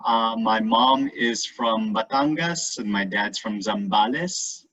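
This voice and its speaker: A man who is a neurologist, introducing himself slowly and deliberately. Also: on the low side, fairly bright tone, rough recording.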